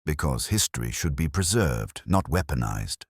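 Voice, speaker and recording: A man voicing a shrewd, controlled Victorian detective in a storybook reading, speaking in a dry, measured way. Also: extremely low pitch, sing-song, husky, dry recording, heavily acted.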